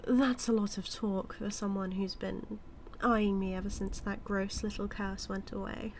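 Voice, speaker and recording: A woman's voice: medium pitch, flat delivery, unhurried, slight background noise, lightly acted. A British woman playing a smug, scheming supervillain in an audio roleplay, taunting her nemesis with a theatrical, drawling delivery that dips toward whispers. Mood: gloating, flirtatious. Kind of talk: scripted villain monologue roleplay, spoken to the listener.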